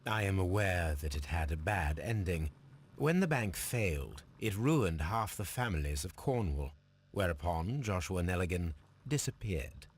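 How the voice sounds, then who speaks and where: A man playing a composed, analytical middle-aged Victorian detective in a video game, speaking formally and deliberately with a British accent. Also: deep voice, expressive, breathy edge, heavily acted. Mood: focused inquiry. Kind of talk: video game dialogue and musing aloud.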